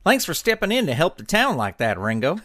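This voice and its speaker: A man's voice: fairly high pitch, huge pitch swings, brisk, background noise. A man voicing a good-natured, wary young fish deputy in a cartoon, folksy and hesitant. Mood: reluctant. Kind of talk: cartoon audio drama acting.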